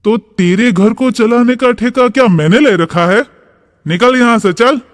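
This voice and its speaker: A man's voice: extremely high pitch, unhurried. A man playing a harsh, dismissive village landlord in a cartoon story, curt and scornful. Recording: long reverb.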